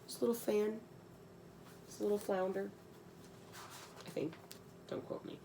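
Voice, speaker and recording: A woman's voice: mid-range voice, steady tone, measured pace, dark tone, slight background noise, rough recording. A young adult woman reading a rhyming children's storybook aloud, breaking into slangy, casual, giggly asides.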